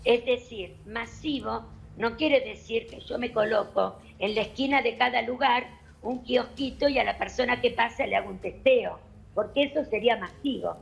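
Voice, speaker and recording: A woman doctor with an Argentine accent, explaining calmly and methodically on a television panel. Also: fairly high pitch, vocal fry, poor audio. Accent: Argentine Spanish. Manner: measured, explanatory, addresses host formally.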